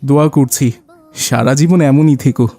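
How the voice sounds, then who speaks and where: Man acting mellow, pious older cartoon father. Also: medium pitch, normal speed, natural voice. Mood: overjoyed. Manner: heartfelt, pious. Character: devout, grateful older father seeking his son's reform. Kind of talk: cartoon dialogue.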